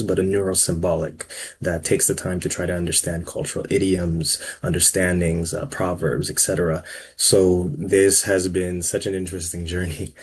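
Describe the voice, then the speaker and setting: A man from the Congo, a startup co-founder, giving a relaxed, chatty panel introduction with many fillers. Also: low voice, slightly rough.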